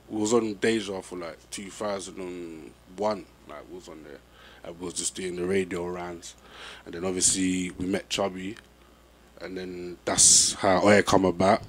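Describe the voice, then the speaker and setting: A young man with a London accent, chatting casually as an MC being interviewed, with a relaxed manner. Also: deep voice, a little hiss or hum. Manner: laid-back, peppered with "you know what I'm saying".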